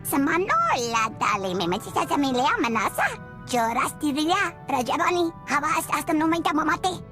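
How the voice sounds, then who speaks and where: Chattering furry alien. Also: female voice, high-pitched, big pitch swings, music in the background. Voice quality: chattering alien gibberish speech. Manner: babbling, rapid singsong syllables. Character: small furry female alien warrior, chattering. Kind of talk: video game cutscene acting.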